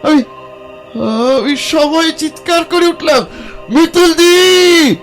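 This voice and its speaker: Young male, haunted and hesitant, high voice, slow delivery, faint music underneath. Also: fairly bright tone, full character voice. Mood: horrified. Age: young adult. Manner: halting, breathy hesitations and repeated words. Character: anxious young man haunted by terrifying visions. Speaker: protagonist and first-person narrator. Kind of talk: audio horror drama acting.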